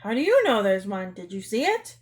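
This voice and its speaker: A woman voicing a sensible, solemn young girl in a children's story, calm and stern. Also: mid-range voice, brisk, natural voice. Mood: questioning. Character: sensible, solemn young twin girls questioning their parents.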